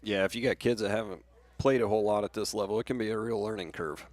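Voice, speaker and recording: Fast, even-toned American male baseball analyst. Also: on the low side. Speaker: radio color commentator. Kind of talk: analytical baseball commentary, conversational.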